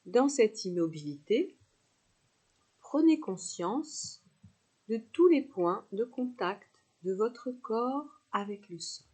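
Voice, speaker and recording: A woman guides a relaxation meditation at a very slow pace, leaving pauses between her instructions. Her voice is mellow and husky, with a soothing, unhurried delivery suited to a yoga nidra class. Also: a little high, expressive.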